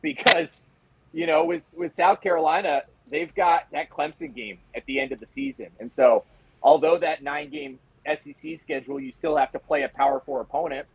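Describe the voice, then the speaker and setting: An American man giving casual sports analysis as a reporter guest, in a halting, conversational way. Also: a little high, lively intonation, gravelly, a little hiss or hum, rough recording.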